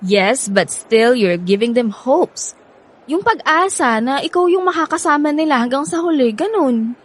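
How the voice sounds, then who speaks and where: A woman's voice: sits high, lively intonation, a little slow. A woman playing a sassy, skeptical young woman in a radio drama, scolding and sarcastic.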